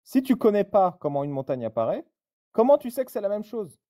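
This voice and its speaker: A man's voice: sits high, sing-song, a little fast, mellow. A man in a live online debate, pointedly and insistently questioning a guest.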